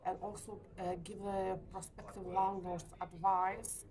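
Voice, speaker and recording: A woman in her forties from Lithuania, speaking English with a Lithuanian accent, answering interview questions hesitantly with many pauses. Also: medium pitch, flat delivery, a little mellow. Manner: hesitant, frequent uh pauses, light laughs. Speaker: letting agency owner. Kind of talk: business interview. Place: hotel meeting room.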